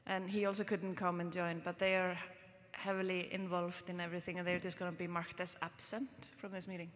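A woman from Iceland, chair of the board, opening a public meeting in a relaxed, chatty way with many fillers. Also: lowish voice, a little fast. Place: conference hall stage. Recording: long hall reverb.

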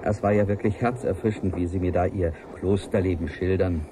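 Guy acting as lonely earnest scientist, lowish voice, formal and measured, radio play, noticeable noise on the tape. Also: fairly even intonation, lightly acted. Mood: earnest, confiding. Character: earnest, lonely male scientist confiding by audio letter. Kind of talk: 1980s German radio play monologue.

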